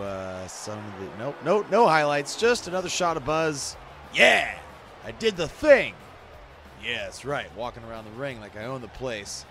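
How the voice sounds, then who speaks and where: Bright-voiced man giving playful reaction commentary. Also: mid-range voice, a little hiss or hum. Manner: playful, expressive. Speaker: host, game voice actor reacting to own scenes.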